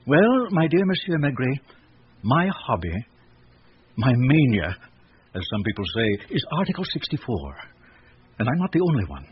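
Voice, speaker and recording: Man playing genteel obsessive gentleman, middle-aged, erudite, loquacious, lively intonation, radio drama, dull recording. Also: medium pitch, natural voice. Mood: fervent. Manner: genteel, probing, deliberate, loquacious. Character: courteous, erudite, frail gentleman obsessed with penal law. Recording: dull old radio recording, faint noise.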